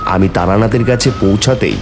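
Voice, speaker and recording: A man's voice: fairly low pitch, a little fast, close to an everyday voice. A man playing an earnest, brave young hero who tells and acts out a horror cartoon story. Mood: eerie, suspenseful. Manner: dramatic, earnest first-person storytelling. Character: brave, earnest young man seeking his dead lover. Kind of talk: horror cartoon narration and dialogue. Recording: music behind the voice.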